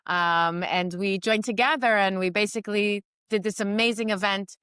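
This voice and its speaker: A woman's voice: medium pitch, steady tone, moderate pace, a bit bright, clear, resonant tone, dry recording, clean studio sound. A woman in her forties from New York, a guest on a video-call interview, telling her story reflectively with many ums and restarts. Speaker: guest entrepreneur and mentor. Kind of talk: remote interview conversation. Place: home in Israel during a storm.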